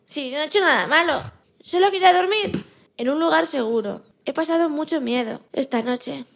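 A woman's voice: sits high, normal speed, gravelly. A woman playing a small, timid, trusting young female rabbit in a childlike, plaintive way for a children's radio story. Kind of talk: amateur radio-play acting of a children's story.

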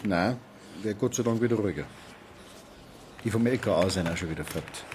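A man's voice: lowish voice, fairly quick, background noise, close to an everyday voice. An actor playing a down-to-earth, placating small-town policeman with a Bavarian accent in a naturalistic radio drama. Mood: matter-of-fact. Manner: matter-of-fact, colloquial.

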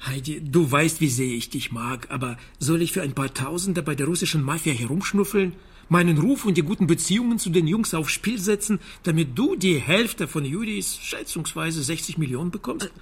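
An actor playing a wry, evasive private detective in a radio drama, husky, dry and offhand in a naturalistic style. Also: male voice, a little high, a little fast. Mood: dry, sardonic.

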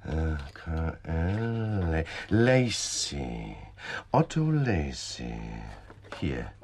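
Officious, wordy store manager, lively lowish voice, radio drama, light background noise. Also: male voice, extremely slow, exaggerated voice. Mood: fussy, eager. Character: fussy, talkative male department store manager.

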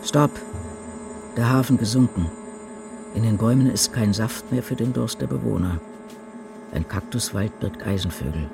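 A man reciting poetry for a radio production in deliberate, declamatory short statements. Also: fairly low pitch, gravelly. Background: music.

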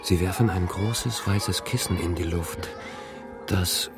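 Radio play: a brooding man plagued by memories, very low and creaky, introspective, fragmentary delivery. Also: takes it slowly. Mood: haunted, absorbed. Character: haunted man troubled by intrusive, unexplained memories. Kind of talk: radio drama interior monologue. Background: music.

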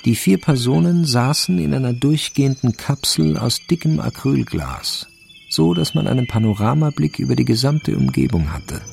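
A man's voice: medium pitch, lively intonation, normal speed, vocal fry, darkish tone, faint music behind. An actor playing a sober, wry male narrator, reading scripted literary narration in a radio crime drama. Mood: wryly detached.

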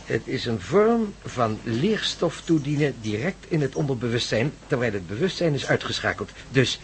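A man's voice: mid-range voice, sing-song, moderate pace. A scholarly professor in an old radio play, lecturing formally and precisely. His intonation rises and falls freely over a noisy recording. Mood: confident, absorbed. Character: pedantic scholarly professor, confident and patient experimenter. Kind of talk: radio drama acting.

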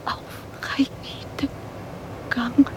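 An actress playing a dreaming, distressed woman in a German radio drama, halting and often breathy, half whispering. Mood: anguished, pleading. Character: dreaming, distressed woman pleading for an upright walk.

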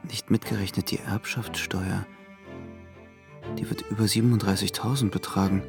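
Creaky-voiced man narrating over music. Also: fairly low pitch, fairly even intonation, moderate pace. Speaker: narrator and protagonist's voice in radio play. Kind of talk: scripted present-tense narration.

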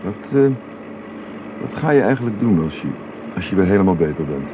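An actor playing a caring middle-aged father in a radio drama, speaking in a gentle, halting and soothing way. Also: low voice, sing-song. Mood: hesitant. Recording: muffled, noisy old radio recording.

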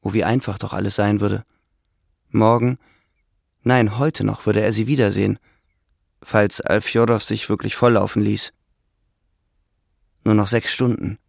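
A man's voice: lowish voice, flat delivery, a little slow, long pauses, dry recording, clean studio sound. A man reading literary narration as the narrator of a drama, with ambient sound behind him. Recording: clear background ambience under voice.

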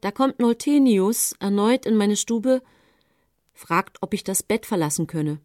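An actress playing a tense, guarded accused woman, narrating her story in measured literary radio drama style. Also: mid-range voice, lively intonation, warm tone, no echo, clean studio sound, lightly acted. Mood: guarded dread.

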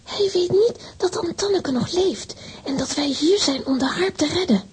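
Very high-voiced plucky girl. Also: normal speed, darkish tone. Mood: secretive. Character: plucky, eager young girl adventurer. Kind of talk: Flemish children's audio drama acting.

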